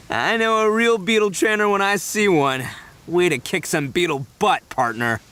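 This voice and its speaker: An actor playing a brash, big-hearted young gang leader in a video game, boisterous and colloquial with boyish bravado. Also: male voice, high voice, measured pace, fairly bright tone, a little hiss or hum. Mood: excited, boisterous. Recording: faint game music behind.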